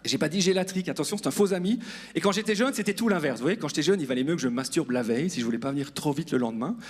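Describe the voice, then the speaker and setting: Guy in his 40s doing standup, talks fast, kinda high voice, chats to the audience, pokes fun at himself. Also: expressive, husky. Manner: self-deprecating, addressing the audience directly. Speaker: stand-up comedian. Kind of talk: stand-up comedy monologue to an audience. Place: live comedy club stage.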